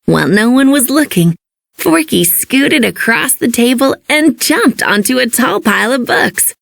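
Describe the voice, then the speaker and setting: A woman reading a children's storybook as an expressive narrator. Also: high-pitched, a little slow, vocal fry, no echo, studio quality.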